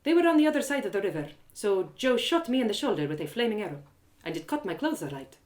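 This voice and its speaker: Woman playing a chatty, knowing former thief, lively pitch. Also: quick pace, close to an everyday voice. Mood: confessing. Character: frank, inquisitive former woman thief, hardened village storyteller.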